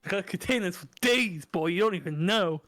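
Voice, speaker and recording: A man's voice: high voice, lively intonation, a little fast, fairly bright tone, faint music behind. A young man co-hosting a podcast from his desk at home, joking crudely and laughing often. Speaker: podcast co-host. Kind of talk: casual banter.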